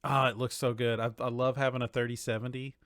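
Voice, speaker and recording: A man's voice: medium pitch, little pitch movement, quick pace, fairly bright tone, no echo, studio quality. A man co-hosting a podcast, chatting casually and enthusiastically about video games in informal language. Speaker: podcast co-host.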